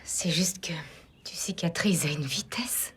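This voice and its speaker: An actress dubbing a soft-spoken woman who recounts her childhood in a small village abroad. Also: lowish voice, unhurried, husky, whispered, exaggerated voice. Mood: confiding. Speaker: character in a dubbed series. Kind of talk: television dub acting.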